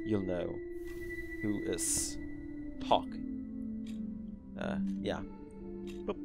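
A man's voice: sits low, steady tone, drawn-out words, vocal fry, over music. A man in his twenties hosting a casual podcast, rambling and correcting himself as he reacts to an old recording of himself. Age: 20s. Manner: rambling, self-correcting, teasing his past self. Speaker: podcast host.

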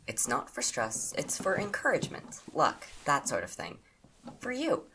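A woman plays a teasing young witch in an audio drama. The voice swings widely in pitch and sits fairly low. She is bossy but caring, with noticeable noise in the recording. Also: wildly swooping pitch, normal speed, gravelly, fairly bright tone, full character voice, rough recording. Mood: fond, teasing. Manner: bossy, mock-threatening, fond teasing.

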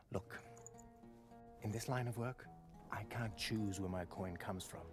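An actor playing a roguish, greedy mercenary captain in a video game, wry, teasing and conspiratorial. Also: male voice, fairly low pitch, takes it slowly, over music. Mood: persuasive, teasing. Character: roguish mercenary captain, sly, greedy, danger-loving brother.

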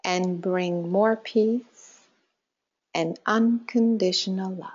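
A woman guides a meditation at an extremely unhurried pace. Her delivery is calm and measured, in a mid-range voice, with phrases that settle softly at the end. The recording sounds a little muffled. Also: mid-range voice, slightly rough. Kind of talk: guided meditation, slow spoken instructions with long pauses.

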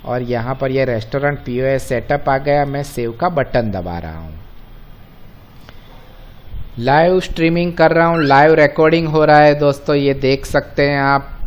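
A man with an Indian accent presenting an informal step-by-step software tutorial, explaining to viewers as friends and mixing in English terms. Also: medium pitch. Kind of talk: step-by-step screen-recording walkthrough, informal.

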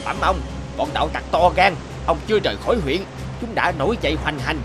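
A man acting male characters in an animated Vietnamese folk tale. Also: on the high side, a little fast, close to an everyday voice. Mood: agitated. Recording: loud background music.

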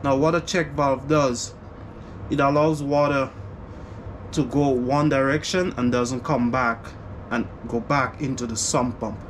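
A man narrating a home repair vlog in a basement, explaining each step in a relaxed, casual way. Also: mid-range voice, measured pace, slight background noise. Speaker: home renovation vlogger. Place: unfinished basement indoors.

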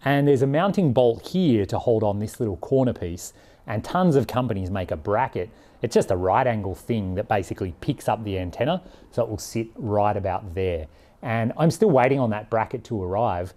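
A man's voice: on the low side, animated, moderate pace, dark tone. A man in his forties from Australia explaining things in a casual vlog, frank and plain-spoken. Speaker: vlogger, overland traveller. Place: indoors in a workshop garage, and seated in a vehicle.

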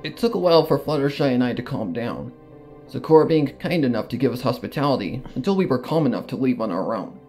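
A man's voice: medium pitch, animated, quick pace, a little mellow. Man dramatically narrating a story over music. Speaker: fan fiction reader. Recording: music behind the voice. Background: background music.

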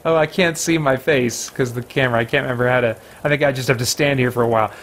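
A man's voice: mid-range voice, steady tone, fast talker, a bit bright, a little hiss or hum. A man in his thirties giving casual commentary as he hosts a gaming let's play from a room at home. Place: indoor room with bookshelves.

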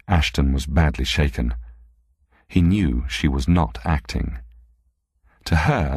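Male narrator, extremely low pitch, husky, measured literary reading, close dry recording. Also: dry recording. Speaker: audiobook narrator.

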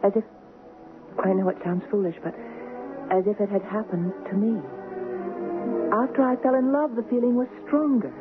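A woman's voice: mid-range voice, fairly even intonation, normal speed, long pauses, music in the background, close to an everyday voice. Actress as an earnest young woman, thin radio sound. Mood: wistful, confiding. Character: earnest young American woman, romantic and haunted by the past. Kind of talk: 1970s radio drama acting. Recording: noisy, phone-like 1970s radio recording.

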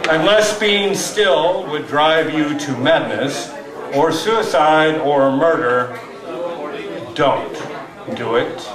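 An older man in his sixties gives a live poetry reading, with deliberate dramatic pauses and wry asides. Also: a little high, crowd noise. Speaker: poetry reader at an open mic. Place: small tavern stage indoors. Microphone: stand microphone, captured from far camera. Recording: roomy live tavern, distant mic, audience noise.

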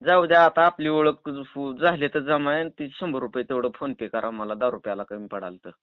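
A man's voice: mid-range voice, steady tone, normal speed, smooth, dry recording, lightly acted. A man with a rural Maharashtrian Marathi accent playing an argumentative, rambling drunk pestering a woman in a prank phone call. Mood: wheedling. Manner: rambling, stubborn, cheeky. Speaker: prank caller. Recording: narrow tinny phone-call recording.

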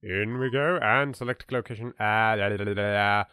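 A man with an English accent commentating casually on a game he plays, reacting loudly and joking. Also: on the low side, moderate pace, noisy background. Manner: animated, exclaiming, swearing in jest. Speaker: let's play host.

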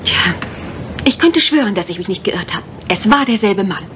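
An actress playing an anxious, genteel young guesthouse owner in a German radio crime drama. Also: female voice, mid-range voice, expressive, normal speed, background noise, close to an everyday voice. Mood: uneasy, insistent.

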